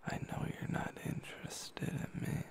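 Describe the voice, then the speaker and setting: A man softly whispering the lines of a cheerful, forward young woman in a storybook reading. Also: a little slow, close to an everyday voice. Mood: tender. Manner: soft whispered delivery.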